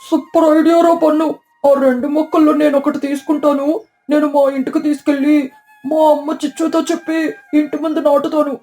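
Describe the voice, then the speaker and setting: A woman's voice: high-pitched, flat delivery, fairly quick, dark tone, faint music behind. A woman voicing an eager little boy crow in a children's cartoon, childlike and enthusiastic.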